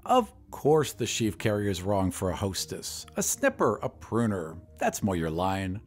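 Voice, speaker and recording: A man's voice: fairly low pitch, wildly swooping pitch, unhurried, faint music behind, voice pushed down, heavily acted. A man voicing a gloomy, scornful old painter who talks with bitter sarcasm. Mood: sardonic.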